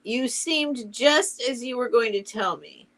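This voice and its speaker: A woman's voice: fairly high pitch, a little slow, fairly bright tone, pitched above the performer's own voice. A woman voicing a naive, dreamy little male toy bear in a storybook, with a wistful, questioning manner. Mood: puzzled.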